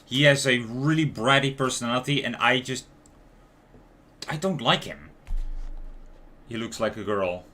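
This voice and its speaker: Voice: medium pitch, measured pace, pauses often, bright, forceful tone. A game streamer giving casual, blunt live commentary and informal reactions to a game's dialogue. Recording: music in the background. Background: music.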